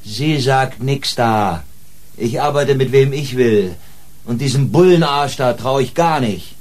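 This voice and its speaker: An actor playing a streetwise, defiant small-time police informant in a radio play, talking in a flippant, slangy way. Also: male voice, mid-range voice, a little slow, lightly acted.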